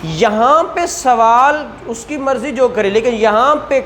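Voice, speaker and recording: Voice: mid-range voice, unhurried, a bit bright, a little hiss or hum. A law lecturer with a Pakistani accent explains in a conversational, didactic way, repeating points and asking rhetorical questions.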